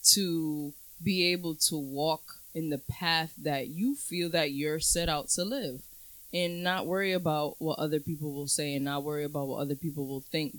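A woman's voice: low-pitched, no room sound, poor audio. A woman in her twenties on a casual podcast, talking in a reflective, earnest way and drawing out her phrases. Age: 20s. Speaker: podcast co-host. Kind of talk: casual chat.

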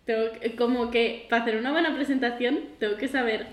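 Woman, late 20s, fairly high voice, laughs a lot, teasing co-host, echoey room. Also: steady tone, quick pace. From Girona, Catalonia, Spain. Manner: laughs easily, dry teasing. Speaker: podcast co-host. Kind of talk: casual chat.

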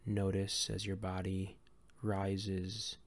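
A man leading a guided meditation in a gentle, calm, unhurried way with long pauses between phrases. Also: deep voice. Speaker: meditation guide and series host.

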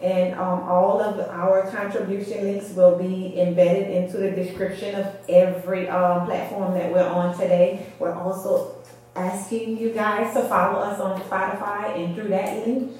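A woman's voice: medium pitch, flat delivery, unhurried, a little mellow. A woman co-hosting a church service, speaking conversationally as if giving announcements to listeners. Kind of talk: conversational announcements and short affirmations. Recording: very echoey room, distant mic.

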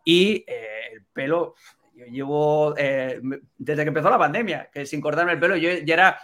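Catalan-raised football presenter; higher male voice, animated and opinionated, fillers. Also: moderate pace. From Catalonia, Spain. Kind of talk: live podcast chat.

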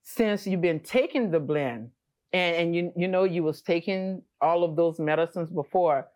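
A woman's voice: fairly low pitch, moderate pace, darkish tone. A woman interviewing a customer, affirming him with many short responses. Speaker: herbal remedy seller hosting the interview. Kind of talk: casual interview chat.

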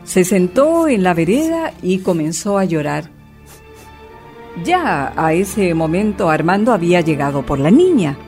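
A woman's voice: lowish voice, very animated, unhurried, darkish tone, faint music behind. A woman narrating a radio drama in a scripted, dramatic storytelling style.

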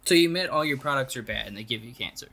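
A man's voice: mid-range voice, expressive, rapid-fire, sharp tone, husky. A man hosting a casual comedy podcast, playfully questioning a guest and laughing easily. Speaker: podcast host.

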